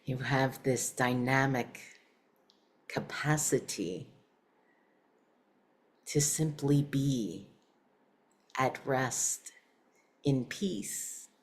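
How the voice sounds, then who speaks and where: Woman, meditation teacher, very deep voice, slow pace, short measured phrases with pauses. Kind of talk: guided meditation.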